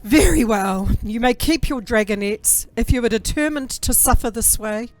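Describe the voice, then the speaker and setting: A woman's voice: on the high side, normal speed, soft background music, close to an everyday voice. Woman acting as a cold, imperious dragon commander. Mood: grudging menace. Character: stern, cruel dragon mother and military commander. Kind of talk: audio drama acting.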